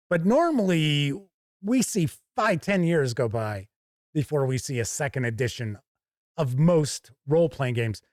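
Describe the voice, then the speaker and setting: Slow-paced, higher-voiced man reviewing casually. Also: no echo, clean studio sound. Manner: deliberate, explanatory, conversational asides. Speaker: reviewer and show host. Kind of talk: casual product review walkthrough.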